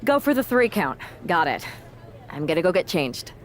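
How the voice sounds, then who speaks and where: A woman's voice: lowish voice, very animated, normal speed, slight background noise. A woman playing a loyal female MMA fighter in a video game, speaking casually with dry, teasing humor. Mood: game, resolved. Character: wry, loyal female MMA fighter, lifelong best friend. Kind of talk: cinematic game dialogue, casual.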